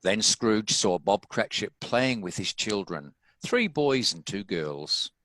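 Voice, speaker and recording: A man's voice: fairly low pitch, very animated, slow, a bit bright, dry recording. A man reading scripted story narration as the narrator of a dramatic table read.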